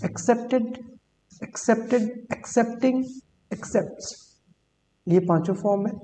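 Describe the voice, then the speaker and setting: Woman, vocabulary teacher, mellow voice, slow deliberate English examples, long reverb with faint hum. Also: fairly high pitch. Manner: reads English examples slowly and deliberately, explains in Hindi; repeats words and says "ठीक है" often. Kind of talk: Hindi-English vocabulary lesson.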